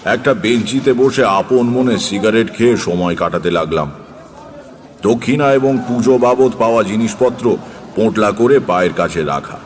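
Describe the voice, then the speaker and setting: An actor playing a seasoned tantric sage who tells eerie true tales in a measured, unhurried way for an audio drama. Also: male voice, fairly low pitch, in a large hall, natural voice. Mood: grave, reflective. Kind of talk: dramatised audio story narration. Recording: faint background noise.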